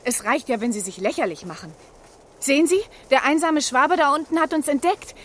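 A woman playing a breezy, bossy balloon adventurer and reporter, brisk and chirpy, in a children's radio play. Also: sits high, expressive. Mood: eager.

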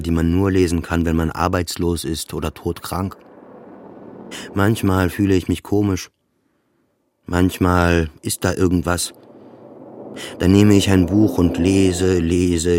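Weary depressed patient, deep-voiced radio play monologue, halting phrases, slow delivery. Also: male voice, a little mellow, slight background noise. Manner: halting short phrases, repeats words, often drops to whispers. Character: weary, depressed male psychiatric patient telling his story.

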